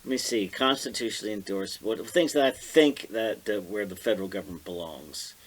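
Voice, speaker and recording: An American man co-hosting a podcast, chatting casually about politics and citing figures in a halting way as he searches through notes aloud. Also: lowish voice, breathy edge, low-quality recording. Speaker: podcast co-host.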